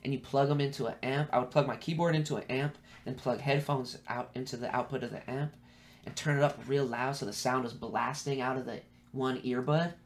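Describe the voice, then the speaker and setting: A man's voice: mid-range voice, fairly quick. A man from the Bay Area with a Californian accent, telling stories casually and in slang as a podcast guest, acting out bits of dialogue as he goes. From San Francisco Bay Area, California, US. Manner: slangy, animated storytelling with acted-out quotes. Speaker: musician interviewed as guest.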